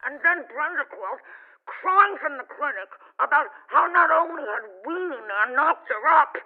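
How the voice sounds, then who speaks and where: An actress playing a scandalized office gossip in a comedic radio drama, heard through a telephone speaker filter. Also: female voice, high-pitched, lively intonation, normal speed. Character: gossipy, scandalized female office worker on intercom. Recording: dull telephone speaker line.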